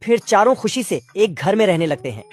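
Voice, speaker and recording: A man's voice: high-pitched, expressive, fairly quick. A man giving a cheeky, slangy comic recap of cartoons as a scripted narrator. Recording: loud music under narration.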